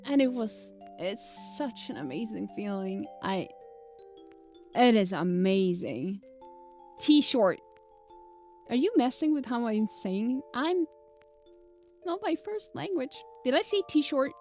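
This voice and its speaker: Unhurried woman, light Swedish accent. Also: on the high side, big pitch swings, darkish tone, soft background music. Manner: rambling, fumbles words, laughs often. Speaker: streamer. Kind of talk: casual live-stream chat.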